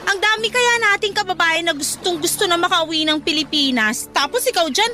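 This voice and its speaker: A young Filipina best friend in a radio drama, content with simple life and quick to scold. Her voice is extremely high and piercingly bright, full of teasing exclamations. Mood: mocking, exasperated.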